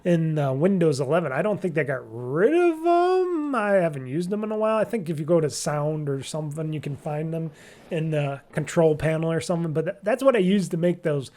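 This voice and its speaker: A man's voice: fairly high pitch, brisk, warm tone. A man hosting a gaming podcast, giving casual, rambling solo news commentary in an informal, mildly profane way.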